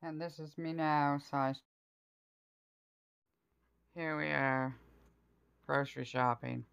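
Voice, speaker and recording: A woman's voice: sits low, steady tone, slow, creaky, clear, resonant tone. A middle-aged woman casually listing items in short phrases for a home vlog, recorded on a handheld phone. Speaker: home vlogger. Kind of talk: casual grocery haul vlog. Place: indoors at home, at a table.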